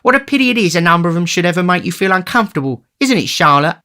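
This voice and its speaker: A man's voice: sits high, animated, racing, bright, forceful tone, pitched above the performer's own voice. A man voicing a tipsy, cowardly young man with a whining manner, as an audiobook character. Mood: tipsy, self-satisfied. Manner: whining, blubbering when cornered.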